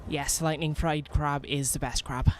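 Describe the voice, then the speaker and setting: A young man from Wales with a softened Welsh accent, streaming a role-playing game in rambling, self-mocking chat full of restarts. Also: fairly high pitch, fairly even intonation, a little slow, a little hiss or hum. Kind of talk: casual in-character role-play commentary.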